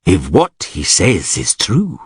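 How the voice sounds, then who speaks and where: A grim, calm lord, played by a man, speaks with measured, scathing control. His husky voice sounds close and dry. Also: sits low, dry recording, exaggerated voice.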